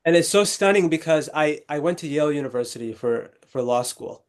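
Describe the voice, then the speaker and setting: A young man from Texas, a legal scholar, speaking as a guest in an interview, articulate and measured with small restarts. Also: fairly high pitch, lively intonation. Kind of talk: conversational interview storytelling.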